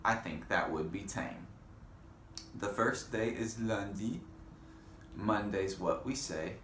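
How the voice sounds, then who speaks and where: A man teaching young children in a read-aloud lesson, speaking in simple, deliberate phrases and putting questions to them. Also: on the low side, fairly bright tone. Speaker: elementary school teacher. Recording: echoey room with faint background noise.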